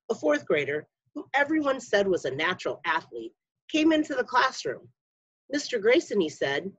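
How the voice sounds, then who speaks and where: Lively woman reading a children's book. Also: fairly low pitch, breathy edge, no room sound, dull audio. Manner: expressive storytelling with lifted voices for dialogue. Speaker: school media specialist reading aloud. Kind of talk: children's book read-aloud.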